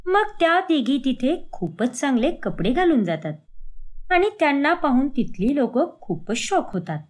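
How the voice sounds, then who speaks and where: A woman's voice: high voice, very animated, measured pace. A woman reading a scripted moral family story as a storyteller.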